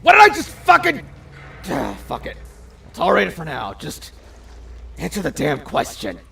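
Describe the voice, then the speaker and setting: A man's voice: fairly high pitch, wildly swooping pitch, normal speed, vocal fry, fairly bright tone, distant mic, faint music behind, exaggerated voice. A man playing a short-tempered, sarcastic vampire gang boss who swears and barks orders, in an amateur audio drama. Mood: exasperated. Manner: profane, sarcastic, bossy.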